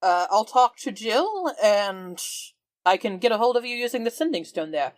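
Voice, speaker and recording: A woman's voice: mid-range voice, lively intonation, normal speed, no echo. A woman improvising in-character conversation as a tabletop game player, polite and appreciative.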